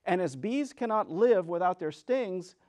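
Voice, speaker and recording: A man narrating a children's story as a dramatic reading, theatrical and expressive. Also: sits high, unhurried. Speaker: storyteller, reader.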